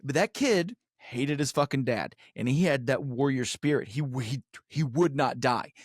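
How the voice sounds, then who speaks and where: A man's voice: medium pitch, normal speed, dry recording, studio quality. A man co-hosting a podcast with casual comedic banter, sarcastic, crude and given to riffing. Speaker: true crime podcast co-host.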